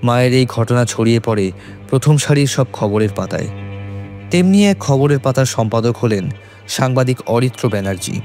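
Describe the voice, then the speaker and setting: A man narrating a scripted horror story in suspenseful, measured phrases. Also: medium pitch, lively intonation, darkish tone. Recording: faint music behind.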